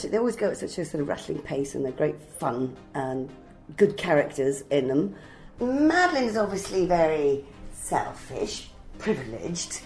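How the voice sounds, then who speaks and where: A woman's voice: low voice, a little mellow. A woman, an actor, chatting in a breezy, wry way in a casual interview. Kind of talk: casual behind-the-scenes interview.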